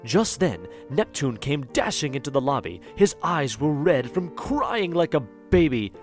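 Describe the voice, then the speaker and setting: A man's voice: on the high side, very animated, measured pace, faint music behind. A man playing a wry, mocking, lonely spirit who narrates an audio drama in a theatrical manner.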